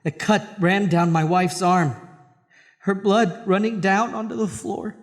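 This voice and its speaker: A man's voice: high voice, measured pace. A man playing a tormented, terrified husband in a horror audio drama, dramatic and intense. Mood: grim narration. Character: terrified, tormented husband narrating his own horror tale. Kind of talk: horror audio drama, first-person narration and dialogue. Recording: long reverb, music underneath.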